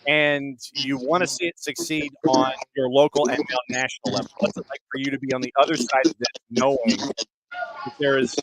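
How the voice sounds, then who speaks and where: A man's voice: medium pitch, flat delivery, normal speed, fairly bright tone, rough recording. A man hosting a podcast and interviewing a guest, asking long, reflective questions. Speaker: podcast host. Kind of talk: casual interview.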